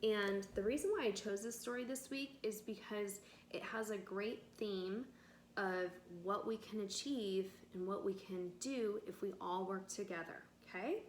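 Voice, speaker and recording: Female read-aloud teacher, expressive and unhurried, explaining simply for children; echoey room, faint noise. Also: medium pitch. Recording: roomy echo with faint background noise.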